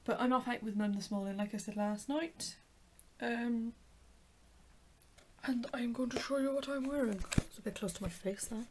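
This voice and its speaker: A young woman with a croaky voice, chatting casually and rambling a little as she vlogs into a handheld camera. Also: on the high side, moderate pace, low-quality recording. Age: young adult. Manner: rambling, chatty, self-correcting. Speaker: vlogger. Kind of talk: casual vlog chat to camera. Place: home bedroom, then a car. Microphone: handheld camera at arm's length. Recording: background noise from the car.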